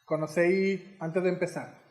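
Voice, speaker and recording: A man's voice: high voice, steady tone, moderate pace, a little mellow, reverberant. A man giving an informal lecture as an educator, chatty and teacherly, often asking his audience questions. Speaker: educator giving a heritage talk.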